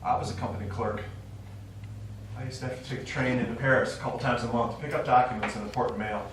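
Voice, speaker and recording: An actor playing an elderly, stubborn widower and war veteran, reminiscing in a wry, rambling way in a stage play. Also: male voice, medium pitch, fairly even intonation, fast, lightly acted. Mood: nostalgic. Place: small theatre stage, recorded from the audience. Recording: echoey small theatre, distant mic, faint noise.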